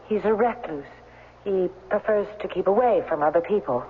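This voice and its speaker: A woman's voice: medium pitch, moderate pace, natural voice. An actress playing an anxious, defensive young woman in a 1970s radio drama, curt and tense. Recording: muffled, reverberant vintage radio broadcast.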